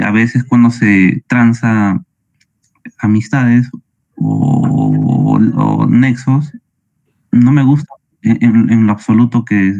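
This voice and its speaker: Slow, low-voiced hesitant man. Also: vocal fry, darkish tone. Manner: hesitant, with repeated words and restarts. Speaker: student. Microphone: video call. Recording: muffled video call audio.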